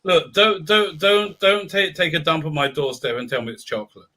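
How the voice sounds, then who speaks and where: Blunt man with a bright, higher voice. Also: normal speed, slight background noise. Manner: blunt, emphatic, jumps in over the other speaker. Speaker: guest. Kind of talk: casual podcast chat.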